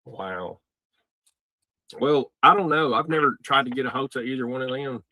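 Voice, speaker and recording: A man's voice: medium pitch, lively intonation, normal speed, sharp tone, no echo, rough recording. A man hosting a casual livestream, asking probing questions in an informal way. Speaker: host of a livestream taking calls from jail. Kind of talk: casual livestream interview chat.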